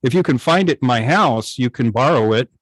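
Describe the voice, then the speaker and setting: An older man with an American accent telling stories informally on a video call, halting and often pausing mid-thought. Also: medium pitch, lively intonation, no echo. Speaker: guest, old-time radio station operator. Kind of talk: informal storytelling in an online club meeting.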